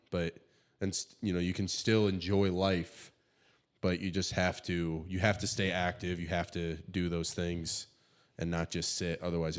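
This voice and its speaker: A young man with a low voice and a slightly stuffed-up nose chats on a casual podcast. He talks in halting bursts, leaning on fillers and restarting his thoughts. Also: clear, resonant tone. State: stuffed up from allergies. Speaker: podcast co-host. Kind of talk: casual chat.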